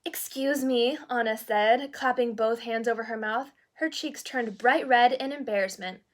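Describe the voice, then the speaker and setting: A woman's voice: a little high, normal speed. A woman narrating a children's storybook expressively, with little asides to young listeners. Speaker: storyteller reading a children's book aloud in character as a princess.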